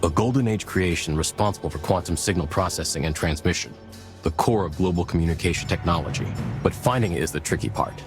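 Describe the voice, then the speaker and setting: Man as a deep-voiced, composed mission commander. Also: lightly acted. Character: composed male mission commander giving a briefing. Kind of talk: scripted video game voice acting.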